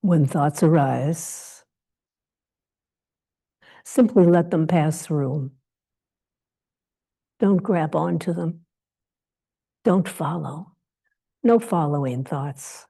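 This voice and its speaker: A woman leading a guided meditation as a teacher, speaking in short, gentle phrases of invitation with long pauses between them. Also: deep voice, creaky, breathy edge.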